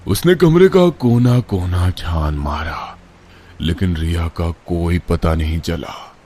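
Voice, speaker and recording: A man's voice: low-pitched, animated, slow pace, heavy background noise. A man narrating a scripted horror story in a dramatic, suspenseful way.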